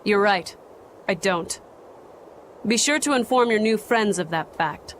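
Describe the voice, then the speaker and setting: A woman's voice: medium pitch, a little hiss or hum, natural voice. Woman voicing a stern protective noblewoman. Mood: accusing. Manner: cold, formal, measured. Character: stern young noblewoman exorcist, fiercely protective sister. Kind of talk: game voice acting.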